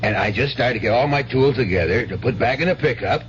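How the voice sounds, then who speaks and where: A man's voice: mid-range voice, quick pace, natural voice. An actor playing a good-natured, rambling rural American father with a stammering, folksy delivery in a 1970s radio drama. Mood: excited, amused. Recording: dull hissy 1970s radio broadcast with hum.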